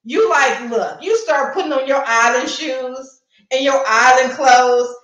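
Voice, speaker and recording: Woman livestream host, chatty and playful, high voice, roomy echo. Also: measured pace, a bit bright. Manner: chatty, playful, addresses viewers directly. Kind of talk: casual livestream chat with promotions.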